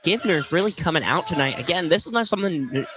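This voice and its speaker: A man giving conversational live basketball analysis as color commentator, with halting phrasing. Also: fairly high pitch, lively intonation, background noise. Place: indoor college field house, courtside. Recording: live gym broadcast with crowd. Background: game crowd.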